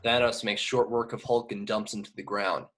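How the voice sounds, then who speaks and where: A man's voice: on the low side, level tone, normal speed, a bit bright. A man narrating scripted action descriptions at a table read. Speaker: stage direction narrator.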